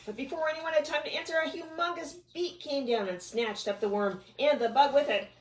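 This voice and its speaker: A woman's voice: high voice, a little fast. A woman reading a children's storybook aloud expressively, chatting casually with a child between passages. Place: at home. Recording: echoey room, distant mic, background noise.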